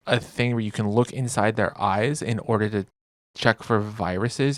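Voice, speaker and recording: Man, lowish voice, game review narration, informal, wry, very dry recording. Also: dry recording. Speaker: gaming video creator. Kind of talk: scripted game review narration with casual reactions.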